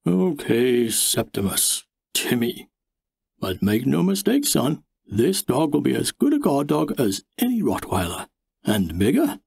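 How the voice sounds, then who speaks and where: A man voicing a boastful, blustery father with a booming voice in an audiobook story. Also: wildly swooping pitch, measured pace, vocal fry, darkish tone, breathy edge, no echo, clean studio sound, full character voice.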